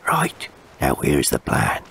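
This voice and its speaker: A man's voice: extremely low pitch, wildly swooping pitch, slow, creaky, loud music behind the voice, pitched below the performer's own voice, exaggerated voice. A man voicing a scheming, menacing pirate gang leader with rustic pirate dialect.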